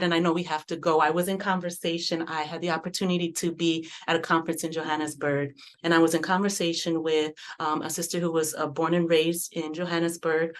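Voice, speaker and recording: Low-voiced earnest woman on a panel. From American South. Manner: earnest, reflective, frequent restarts. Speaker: panelist and community arts researcher. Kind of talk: panel discussion.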